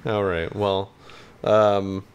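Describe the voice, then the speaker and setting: A man's voice: sits low, level tone, extremely slow, smooth, highs cut off. A man co-hosting a podcast in casual chat, speaking in a hesitant, rambling, hedging way. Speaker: podcast co-host.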